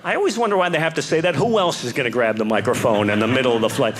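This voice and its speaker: A man's voice: mid-range voice, rapid-fire, noisy background. New York man doing stand-up. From New York City. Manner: chatty, conversational setups. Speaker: stand-up comedian. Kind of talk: stand-up comedy.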